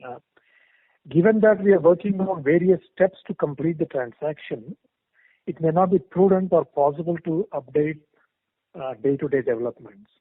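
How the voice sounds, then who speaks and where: Over a thin, dry phone connection, a man with an Indian accent speaks in a slightly high voice. He gives a formal update to investors in a polite and careful manner. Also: normal speed, no echo. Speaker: company executive. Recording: dry, tinny phone conference line.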